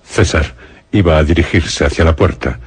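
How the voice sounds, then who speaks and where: A man narrating a scripted radio horror story in a grave, deliberate manner, with dramatic pauses. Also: rumbling low, breathy edge, no room sound.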